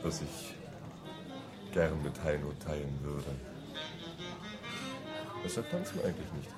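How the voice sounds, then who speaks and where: An actor playing a smooth divorced colleague and hesitant secret lover, suggestive and halting, in a radio crime drama. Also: male voice, extremely low pitch, animated, over loud music. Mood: suave, suggestive.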